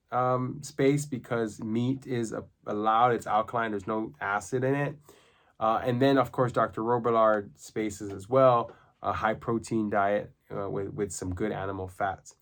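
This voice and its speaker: Man with a coarse, congested voice. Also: medium pitch. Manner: earnest, plain-spoken explanation. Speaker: vlogger documenting his own diet. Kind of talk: casual personal video diary.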